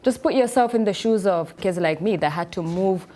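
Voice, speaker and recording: A woman's voice: mid-range voice, moderate pace, mellow. A woman who grew up partly in Nigeria, a studio panel guest, recounting her own schooling with repeated emphasis. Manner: personal storytelling, repeats "I knew".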